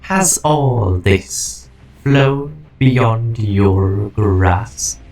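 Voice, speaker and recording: A man acts out an ancient synthetic guardian of a dying civilization. He speaks very slowly and gravely, with a creaky, lowish voice and weary grandeur. Music plays under this game fan-dub performance. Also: darkish tone, full character voice. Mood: questioning. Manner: grandiose, slow, ponderous. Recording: music behind the voice.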